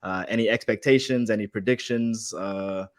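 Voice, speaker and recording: A man hosts a casual podcast interview with a slightly low voice. He sounds enthusiastic and leans on fillers and repeated words as he asks his questions. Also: normal speed. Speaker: podcast host.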